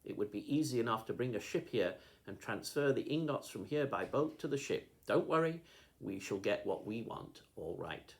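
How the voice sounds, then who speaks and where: A man's voice: medium pitch, expressive, normal speed, natural voice. A man reading a storybook, voicing a cold, threatening adult male kidnapper. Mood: menacing. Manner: cold, commanding.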